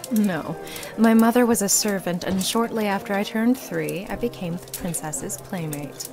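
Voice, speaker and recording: Woman playing a slow, dry young necromancer. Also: mid-range voice, over music, lightly acted. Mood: sardonic. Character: dry, guarded young female necromancer, servant's daughter. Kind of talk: visual novel character acting.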